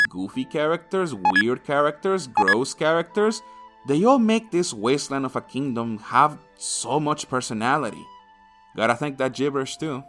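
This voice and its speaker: A man's voice: medium pitch, a bit bright. A man narrating an opinionated video game review in a crude, sardonic way, swearing freely.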